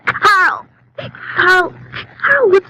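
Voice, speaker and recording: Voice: extremely high pitch, animated, drawn-out words, sharp tone, heavily acted. An actor playing a hysterical nine-year-old boy who stammers and cries out in an old radio drama. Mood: hysterical, terrified. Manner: stammering, crying out. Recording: old noisy radio recording.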